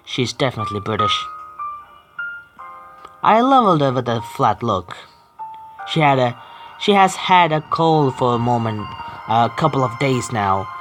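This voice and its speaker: Man reading game text aloud, halting. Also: medium pitch, very animated. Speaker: gaming video host. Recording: faint game music behind. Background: faint video game music.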